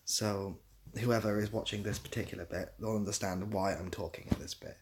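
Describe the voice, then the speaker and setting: Casting: young male streamer with a lowish voice, dry and self-mocking, rambling casually as he answers viewers. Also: steady tone, fairly quick. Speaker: livestream host. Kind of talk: casual livestream chat, reading out viewer comments.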